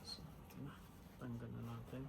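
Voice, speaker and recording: A man's voice: mid-range voice, moderate pace. A man chatting casually through a how-to vlog, describing each step as he works with his hands. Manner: chatty, narrates while working with his hands; relaxed, mixes English into his talk. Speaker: vlogger. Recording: clear background noise.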